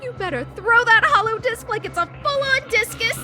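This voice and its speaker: Game casting: earnest, guilt-ridden young lady researcher, very high voice, theatrical delivery, soft music underneath. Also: expressive, moderate pace. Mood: dramatic, distressed. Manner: theatrical, over-earnest, self-accusing. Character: melodramatic, guilt-stricken young noblewoman, earnest lead researcher. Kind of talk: anime-style video game voice acting.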